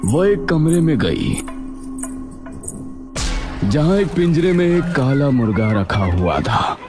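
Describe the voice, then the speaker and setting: A man's voice: a little high, big pitch swings, slow, over music. A man narrating a scripted horror story as the storyteller.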